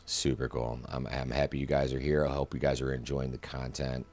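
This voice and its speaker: A man with a smooth, buttery voice, rambling casually to his viewers while playing a flight simulator. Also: a bass voice, level tone, fairly quick, darkish tone. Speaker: flight sim content creator. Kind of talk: casual gameplay commentary.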